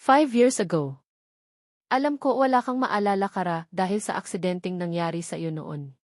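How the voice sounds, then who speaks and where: A woman with a Filipino accent voicing a serious, soft-spoken, possessive billionaire man in an audiobook romance, earnest and switching between two languages. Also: on the low side, moderate pace, no room sound, clean studio sound, natural voice. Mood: serious, regretful.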